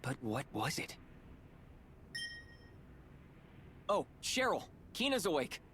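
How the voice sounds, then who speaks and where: A man's voice: a little high, very animated, normal speed, a bit bright, slight background noise. A man playing an earnest, caring, impulsive young hero in a video game. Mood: curious. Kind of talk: video game cutscene acting.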